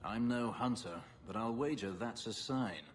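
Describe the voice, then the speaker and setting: An actor playing a brooding, cautious elf in a video game, dry and reproving. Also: male voice, mid-range voice, moderate pace, heavy background noise, lightly acted.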